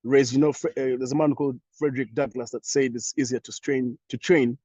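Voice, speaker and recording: A young Ugandan man speaking English with a Ugandan accent, answering thoughtfully as a panelist in an online discussion, with many pauses and restarts. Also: medium pitch, fairly even intonation, dark tone, husky, dry recording, lo-fi sound.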